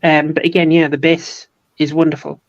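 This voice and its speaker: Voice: medium pitch, level tone, moderate pace, dry recording. A guest painter in a casual hobby chat, explaining painting steps plainly and teasing a little. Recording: dull, dry lo-fi mic.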